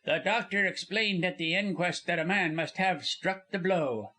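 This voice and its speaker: Smooth, courteous Austrian gambler with a marked accent, voiced by a male narrator. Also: high voice, normal speed, sharp tone, pitched above the performer's own voice. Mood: suave. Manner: polished, courteous, formal. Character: smooth suave Austrian card-sharp, young man.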